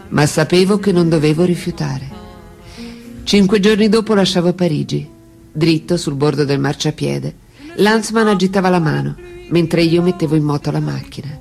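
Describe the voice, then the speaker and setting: Radio drama: mature French woman of letters, gravelly creak, measured and reflective, faint music. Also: low voice, darkish tone. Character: mature French woman writer looking back on love, dignified. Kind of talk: theatrical radio drama monologue.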